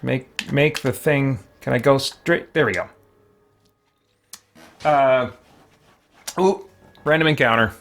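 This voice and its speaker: A man giving casual, halting live-stream commentary on a game, thinking aloud as he goes. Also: mid-range voice, fairly bright tone.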